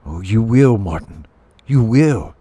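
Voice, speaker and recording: A man voicing a gentle, wistful mouse in a storybook, speaking softly and earnestly with a sighing tone. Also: on the low side, animated, slow pace, breathy edge, dry recording, heavily acted. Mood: wistful, admiring. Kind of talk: storybook character voice.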